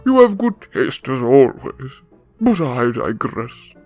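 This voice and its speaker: An actor playing a suave, pompous older crime boss with an oily, formal manner in a radio drama. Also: male voice, medium pitch, sing-song, slow pace, a little mellow, faint music behind. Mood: knowing, wry.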